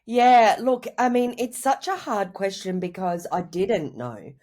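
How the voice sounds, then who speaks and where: A woman with a cold, sounding croaky, answering interview questions over a video call in a reflective, rambling way. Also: medium pitch, expressive, unhurried, darkish tone. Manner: reflective, rambling with many "you know" and "I guess". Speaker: guest, writer and mother. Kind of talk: candid conversational interview answers.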